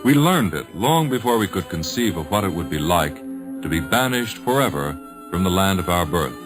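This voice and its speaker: Deep-voiced American radio host, expressive. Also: male voice, over music. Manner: formal, measured. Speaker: radio host introducing a drama. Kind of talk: 1950s radio scripted introduction.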